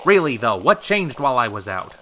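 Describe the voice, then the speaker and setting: A man's voice: mid-range voice, big pitch swings, fairly quick, sharp tone, soft background music. A man playing a wry, chivalrous radio talk show host in a polished, theatrical old-time style. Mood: probing.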